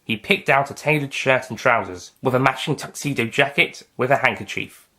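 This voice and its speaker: A man's voice: medium pitch, moderate pace, pushed and bright. Male narrator, mid-range voice, scripted storytelling, audio fiction. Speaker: author and narrator.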